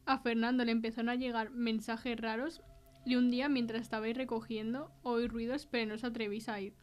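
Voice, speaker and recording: An actress playing an inquisitive podcast host with a Castilian Spanish accent, questioning witnesses and recapping their mystery. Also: female voice, fairly high pitch, little pitch movement, fairly quick, darkish tone, lightly acted. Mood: curious. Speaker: podcast interviewer. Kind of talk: interview in an audio fiction podcast.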